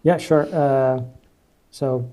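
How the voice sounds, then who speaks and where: Thirties guy on a video call, super dark warm voice, halting, restarts a lot. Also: mid-range voice, fairly even intonation. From Ukraine. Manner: hesitant, many fillers and restarts. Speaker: guest speaker, UI engineer. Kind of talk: casual webinar conversation.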